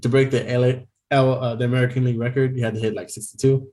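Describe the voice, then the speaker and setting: A man's voice: mid-range voice, little pitch movement, quick pace, warm tone. A young man co-hosting a podcast in casual chat, talking loosely with lots of laughter and fillers. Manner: chatty, laughs often, many fillers. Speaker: podcast co-host.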